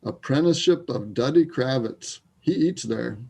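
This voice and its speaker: A man playing a wry, troubled, grieving Canadian sports fan in a readers theater piece, with a gravelly voice. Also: mid-range voice, expressive, moderate pace, darkish tone. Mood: wry, teasing.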